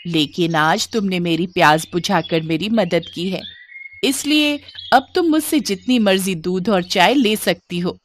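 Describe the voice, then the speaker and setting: A woman's voice: on the low side, a little slow, a little hiss or hum, lightly acted. A woman voicing a friendly, generous magical female buffalo who talks earnestly in a children's story. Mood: grateful, generous.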